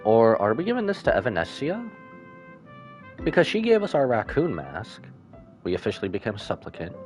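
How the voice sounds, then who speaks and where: A man streaming a game with casual, informal live reactions and expressive asides. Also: mid-range voice, moderate pace. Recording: faint game music behind.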